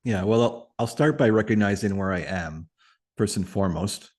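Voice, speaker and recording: A man from Atlantic Canada whose first language is French, talking as a guest on a video call, reflective and pausing often with fillers. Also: on the low side, darkish tone. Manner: thoughtful, many pauses and fillers. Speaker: guest, community facilitator. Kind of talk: reflective interview conversation.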